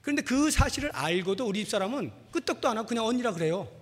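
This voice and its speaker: Animated, husky preacher in a reverberant hall. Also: male voice, extremely high pitch, fast. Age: 40s. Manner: conversational, self-deprecating storytelling. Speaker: pastor. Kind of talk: sermon with personal anecdotes. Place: large reverberant church hall.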